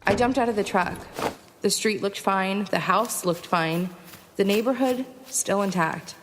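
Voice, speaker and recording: A woman's voice: medium pitch, a little slow, in a large hall, noisy background, natural voice. A woman playing a weary, determined survivor, narrating a first-person audio drama and acting out her dialogue. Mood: tense, hopeful. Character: Ava, weary, determined young woman surviving an alien invasion. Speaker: narrator and lead actor.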